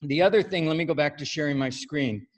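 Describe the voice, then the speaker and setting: A middle-aged man, a yoga studio owner, giving a loud, upbeat, chatty screen-share talk over a video call, with lots of "right?" tag questions. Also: on the high side, fast talker. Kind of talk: casual screen-share presentation.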